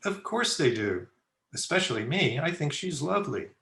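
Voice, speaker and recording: A male actor plays a well-mannered young grandson in an old-time radio drama. His voice sits fairly high and moves animatedly, earnest and puzzled. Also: very animated, moderate pace, vocal fry, bright, forceful tone, full character voice. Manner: polite, earnest, hesitant.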